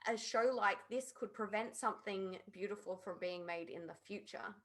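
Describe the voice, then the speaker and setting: A woman's voice: medium pitch, moderate pace, fairly bright tone. A woman on a podcast panel, giving her opinions in casual discussion of pop culture.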